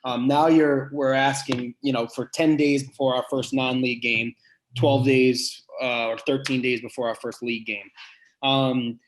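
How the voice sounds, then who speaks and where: A man with a big voice who is a head basketball coach, answering podcast questions earnestly and thinking aloud with pauses and restarts. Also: medium pitch, flat delivery, a little hiss or hum, rough recording.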